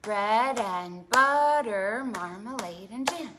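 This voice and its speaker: A woman hosting an interactive storytime for preschoolers, talking in an animated, exaggerated way to small children and asking them lots of questions. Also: medium pitch, slow pace, a little hiss or hum. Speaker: children's librarian and storytime host.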